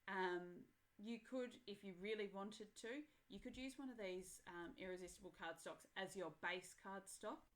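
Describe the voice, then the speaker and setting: A woman's voice: medium pitch, moderate pace, few pauses, fairly bright tone. A woman guest demonstrating papercrafts on a live online show, chatty and easygoing, thinking aloud as she works. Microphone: headphones in, voice comes out soft.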